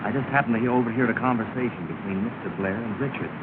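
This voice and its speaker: An actor playing a scheming, jealous young society suitor, suave and conspiratorial, in early 1930s film style. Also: male voice, mid-range voice, fast talker, lightly acted. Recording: muffled, noisy 1930 film soundtrack.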